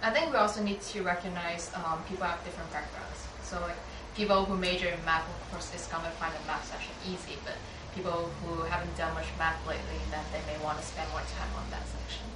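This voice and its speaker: A woman's voice: fairly low pitch, steady tone, fast talker, few pauses. Young female student from Hong Kong, thoughtful and filler-heavy; roomy, noisy recording. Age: young adult. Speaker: student panelist. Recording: roomy, distant mic with background noise.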